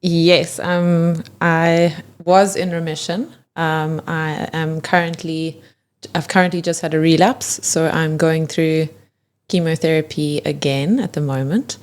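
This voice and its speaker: Woman in her thirties, deep voice, soft-spoken, self-deprecating, podcast guest. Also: fairly even intonation, unhurried. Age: 30s. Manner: soft-spoken, plain and self-deprecating, with frequent small laughs. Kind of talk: casual interview conversation.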